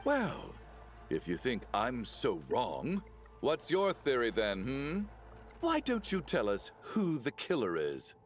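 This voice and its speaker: A man's voice: mid-range voice, wildly swooping pitch, takes it slowly. An actor playing a self-important, jumpy wealthy man in a video game, theatrical and insistent, pressing others with questions. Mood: accusing. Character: panicky, accusatory, self-important wealthy man under threat. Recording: music behind the voice. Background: music.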